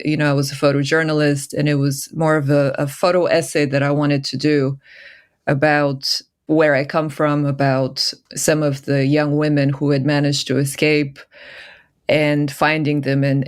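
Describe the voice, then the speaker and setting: Reflective middle-aged woman from Bulgaria. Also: low voice. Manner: reflective, searching for words with restarts. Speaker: filmmaker guest. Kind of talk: conversational interview answer.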